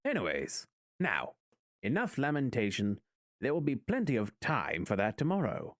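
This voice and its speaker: An actor playing a dutiful, courteous butler and confidant in an audio drama, speaking formally and with measured words. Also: male voice, mid-range voice, wildly swooping pitch, dry recording, clean studio sound. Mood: courteous, reassuring.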